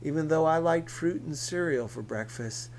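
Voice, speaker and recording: A man's voice: a little high, moderate pace, darkish tone, a little hiss or hum, low-quality recording. A man reading a first-person novel aloud as scripted narration. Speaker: audiobook reader.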